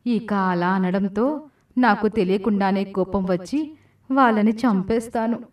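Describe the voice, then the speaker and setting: A woman's voice: medium pitch, a little slow, darkish tone, distant mic, lightly acted. A woman voicing a cursed demoness from a storybook tale, with a commanding, dramatic delivery. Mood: remorseful, confessing. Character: cursed watermelon demoness demanding marriage, menacing then remorseful.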